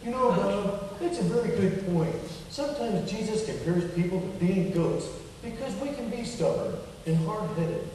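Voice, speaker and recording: An echoey hall carries the mellow, high voice of an earnest puppet fellow played by a man. He is patient and kindly, explaining like a gentle teacher in a lively, swooping children's show delivery. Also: moderate pace, few pauses. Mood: earnest, encouraging. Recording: echoey hall from far off, faint noise.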